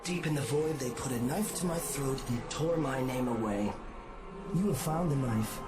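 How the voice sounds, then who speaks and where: An actor playing an enigmatic, detached god in a video game, delivering cryptic lines in a measured, solemn way. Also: male voice, medium pitch, lightly acted. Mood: solemn, haunted. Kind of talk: dramatic game cutscene monologue. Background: very loud music.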